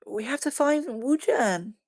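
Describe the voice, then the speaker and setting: A woman's voice: high voice, lively intonation, measured pace, darkish tone, no room sound, clean studio sound. A woman at a screenplay table read playing an earnest young boy who is homesick and determined. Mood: serious.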